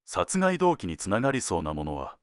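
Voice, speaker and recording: Senior male detective, played by an actor: deep, animated pitch, laconic dry humor, crime drama, close dry sound. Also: clear, resonant tone, no room sound. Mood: dry, teasing. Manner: laconic, dry joking, deliberate phrasing. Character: senior male detective, wry, teasing, world-weary. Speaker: police detective at headquarters. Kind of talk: scripted detective audio drama.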